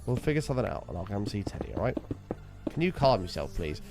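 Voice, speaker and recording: A man streaming a game, chatting casually and wryly with his viewers while his voice is hoarse and sore. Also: fairly low pitch, sing-song, fast talker, music in the background. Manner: bantering, wry, teasing chat members.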